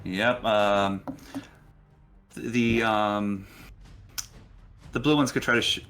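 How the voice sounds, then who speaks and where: A man's voice: on the low side, steady tone, slow pace, a bit bright. A man, casually narrating and refereeing a tabletop fantasy game in a conversational, encouraging way. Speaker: game master and show host.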